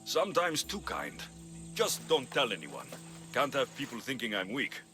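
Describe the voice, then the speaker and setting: An actor playing a tough but kind-hearted young Greek mercenary in a video game. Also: male voice, mid-range voice, huge pitch swings, moderate pace, music in the background. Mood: guarded, warm.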